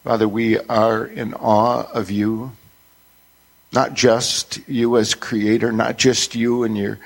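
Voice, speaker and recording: Male pastor, husky voice, earnest and reverent extemporaneous public prayer. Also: lowish voice. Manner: earnest, reverent, repeated addresses to God.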